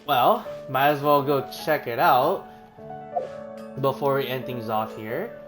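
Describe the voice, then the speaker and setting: Chatty male game streamer with soft music. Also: mid-range voice, takes it slowly. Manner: hesitant, many fillers and restarts. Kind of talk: casual game commentary reading menus aloud.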